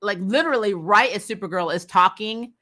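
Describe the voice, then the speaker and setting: Bright, forceful woman ranting casually about TV. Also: mid-range voice, brisk. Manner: animated, exasperated, laughs often, sweary; acts out imagined dialogue. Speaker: host of a TV recap show. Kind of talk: casual opinionated review commentary.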